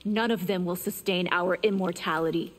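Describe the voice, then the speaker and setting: An actress voicing a proud, defiant immortal vampire in a video game, combative and indignant. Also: female voice, on the low side, steady tone, normal speed, lightly acted. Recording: clear background noise.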